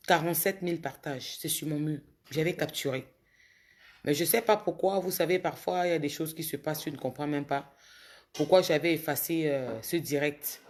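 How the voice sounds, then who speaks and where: A woman with a cold, a bit hoarse, talking casually to viewers on a phone livestream and scolding commenters with indignation. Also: sits low, normal speed, darkish tone. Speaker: social media livestreamer.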